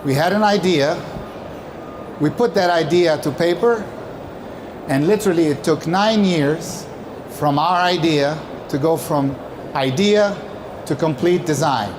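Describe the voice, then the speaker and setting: High-pitched man presenting, noisy background. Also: unhurried, long pauses. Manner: emphatic, explanatory, poses questions and answers them. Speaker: company presenter. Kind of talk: prepared conference-style presentation talk.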